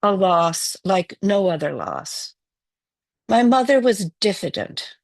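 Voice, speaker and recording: A woman from Wales whose first language is Welsh, giving a poetry reading in a measured, reflective way. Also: mid-range voice, big pitch swings, dry recording. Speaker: poet.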